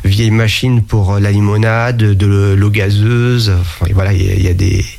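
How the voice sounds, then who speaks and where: A man's voice: fairly low pitch, fairly even intonation, moderate pace, creaky, darkish tone. A man speaking as a sommelier in an interview, talking casually and hesitantly with many fillers and restarts. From France. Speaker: interviewee, sommelier and museum founder.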